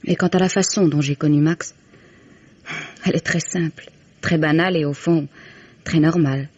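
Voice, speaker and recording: An actress playing a composed, candid young mistress in 1950s French radio drama, with measured, formal diction. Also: female voice, deep voice, fairly even intonation, darkish tone, breathy edge, no room sound. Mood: composed, confiding. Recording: dull, band-limited vintage radio recording.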